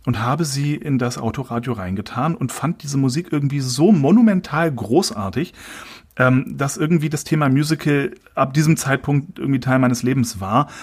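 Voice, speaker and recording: A man's voice: medium pitch, normal speed, husky. Hesitant man from Berlin. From Berlin, Germany. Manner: hesitant, many filler sounds, self-mocking. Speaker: podcast guest, musical performer. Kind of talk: casual interview chat. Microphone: remote call audio.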